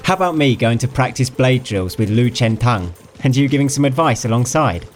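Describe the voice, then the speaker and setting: A man voicing a calm, sly young prince in an audiobook, speaking offhandedly. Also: mid-range voice, brisk, faint music behind, voice pushed up, natural voice. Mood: offhand.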